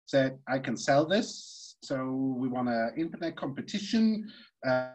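A man from Switzerland, a podcast guest, telling the story of his career in a relaxed, rambling way. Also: medium pitch, unhurried, soft background music, poor audio. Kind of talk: conversational storytelling.

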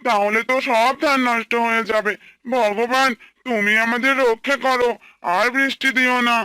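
Humble cartoon farmer, anxious and pleading, very high pitch, sharp bright tone. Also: male voice, steady tone, normal speed, clean tone, no echo. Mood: worried, pleading. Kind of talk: Bengali cartoon dialogue dubbing.

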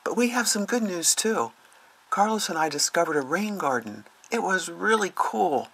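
Puppet show role: keen young boy voiced by a woman, husky, forward bright tone. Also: very deep voice, heavily acted. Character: enthusiastic fifth-grade boy in a puppet show. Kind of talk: scripted puppet show dialogue.

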